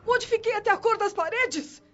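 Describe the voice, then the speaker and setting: A woman's voice: very high-pitched, a little fast, a bit bright. An actress with a Brazilian accent playing a cold, scolding mother in a radio soap opera, stern and impatient. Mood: cold, irritated. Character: cold, scolding remarried mother silencing her daughter. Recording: muffled audio with music underneath.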